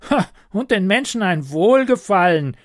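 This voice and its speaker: A man doing a high, snappish grumpy goblin. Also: animated, unhurried, no room sound, clean studio sound. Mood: grumbling. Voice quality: snappish, scornful. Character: grumpy small green male house goblin.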